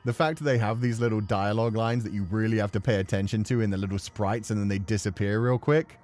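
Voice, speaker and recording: A man streaming a game with playful, expressive, casual commentary. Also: fairly low pitch, fast.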